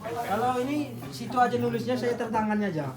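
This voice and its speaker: A man's voice: very high voice, fairly even intonation, fast, echoey room, a loud crowd. A man speaking casually with agreeable, brief replies. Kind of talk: casual chat.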